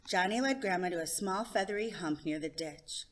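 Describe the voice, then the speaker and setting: A woman hosting a story time, reading a children's picture book aloud in an expressive narration. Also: on the low side, normal speed. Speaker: storytime host and reader.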